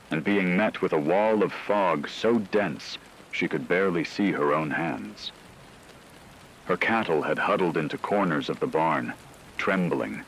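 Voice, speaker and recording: A man playing a serious, measured news anchor in a scripted emergency radio broadcast drama. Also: low-pitched, fairly bright tone, slight background noise, low-quality recording. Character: grave male radio news anchor reporting a supernatural fog crisis.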